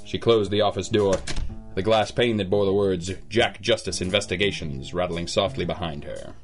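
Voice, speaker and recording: Hard-boiled gumshoe: very low voice, deadpan, wry, slangy, radio noir style over music. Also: male voice, moderate pace. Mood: wry, world-weary. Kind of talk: old-time radio noir acting and narration.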